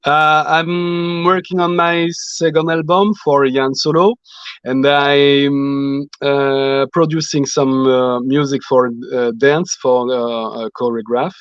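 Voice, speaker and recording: A man with a French accent, speaking English as a second language in a halting interview chat full of fillers and restarts. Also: medium pitch, little pitch movement, clean tone, no echo. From French Guiana. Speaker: guest musician. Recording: dull lo-fi sound.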